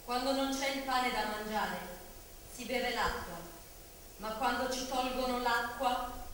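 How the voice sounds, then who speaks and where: A woman's voice: a little high, fairly even intonation, a little slow, a bit bright. A woman playing a grave witness of exile, reciting solemnly in a stage drama. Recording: distant, reverberant hall, faint noise.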